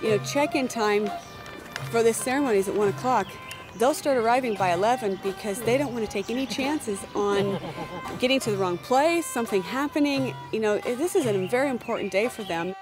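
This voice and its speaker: A woman involved with the ceremony, speaking briskly and matter-of-factly in an interview. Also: fairly high pitch, over music.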